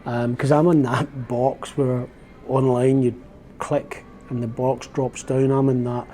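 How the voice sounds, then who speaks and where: A man's voice: mid-range voice, little pitch movement, measured pace, warm tone. A Glaswegian man in his fifties, rambling in a casual, reflective vlog, often correcting himself as he goes. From Scotland. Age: 50s. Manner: rambling, chatty, self-correcting. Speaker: vlogger. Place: outdoors on a windy open-top bus tour.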